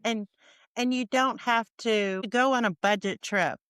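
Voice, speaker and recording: A woman's voice: fairly high pitch, unhurried, fairly bright tone, smooth, no echo, clean studio sound. A woman with an American accent hosting a podcast, talking in a casual conversational style. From United States. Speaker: podcast host.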